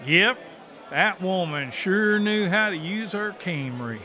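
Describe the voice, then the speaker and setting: Goofy cocky hillbilly strongman, high bright voice, folksy bragging, comic audiobook. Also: lively intonation, slow pace, some chatter behind, heavily acted. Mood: proud, naive. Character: dim, cocky, cheerful backwoods muscleman sidekick.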